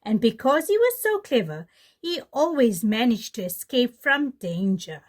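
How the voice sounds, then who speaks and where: Lady teacher reading a kids story, really animated, slow pace, high voice. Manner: animated, addressing young children. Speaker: pre-primary teacher, storyteller. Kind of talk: reading a children's story aloud.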